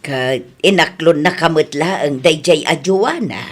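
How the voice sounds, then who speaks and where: A middle-aged mother played by an actress with a slightly low voice and a Filipino accent. She is down-to-earth, probing and exasperated, in radio comedy-drama style. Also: unhurried.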